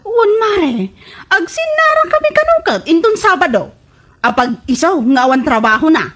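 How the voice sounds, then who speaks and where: Casting: actress as scornful young Ilocano woman, very high voice, animated scolding, radio comedy drama. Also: normal speed, heavily acted. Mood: indignant, resolved. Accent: Filipino, Ilocano. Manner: scolding, emphatic. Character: fed-up, scornful woman confronting her womanizing boyfriend.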